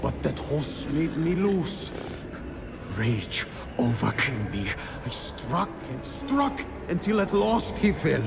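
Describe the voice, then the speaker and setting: A dead squire's tormented ghost in a game drama, full of remorse and pleading. The voice is heavily creaky and slow, set a little high for a male, with clear noise behind it. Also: sing-song, full character voice. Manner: mournful, pleading, halting. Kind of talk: dramatic video game acting.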